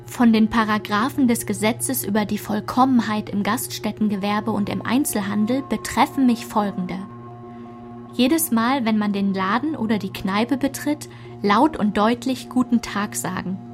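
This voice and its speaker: A woman's voice: medium pitch, moderate pace, close to an everyday voice. A woman reading scripted literary narration as the narrator of a radio play. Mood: measured. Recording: music behind the voice.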